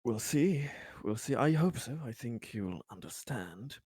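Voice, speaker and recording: Guy in a tabletop game, real slow n low, polite, keeps hesitating, dry close sound. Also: very animated, a little mellow, breathy edge, dry recording. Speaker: roleplaying game player. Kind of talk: improvised in-character tabletop discussion.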